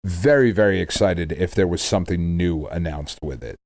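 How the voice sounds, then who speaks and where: Man, mellow tone, fairly low pitch, casual podcast co-host, conversational. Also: normal speed. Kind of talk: casual chat about video games.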